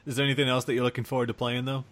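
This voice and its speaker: A man with an ordinary mid-range voice hosts a relaxed gaming chat. He explains things in a meandering way, pausing to find his words as he goes. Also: mid-range voice. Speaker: podcast host discussing video games. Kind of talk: casual chat.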